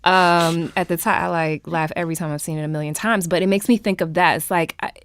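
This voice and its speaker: A woman's voice: on the low side, steady tone, quick pace, smooth, no room sound. A woman speaking casually and reflectively as a guest in a panel discussion.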